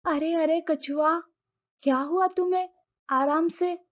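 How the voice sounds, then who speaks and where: A woman voicing a kind, caring cat in an animated moral story. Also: very high-pitched, takes it slowly. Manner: caring, soothing. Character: kind, helpful female cat, friend to all animals.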